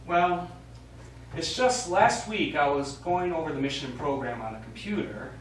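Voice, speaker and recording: A frank, probing mission commander played by a male stage actor, with a slightly high, very animated voice. The live play dialogue comes from far off in a heavily echoing space. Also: normal speed, lightly acted. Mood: probing, wary. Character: blunt male space mission commander questioning his crewman. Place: small theater studio, recorded from audience distance. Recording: echoey hall, distant mic, faint noise.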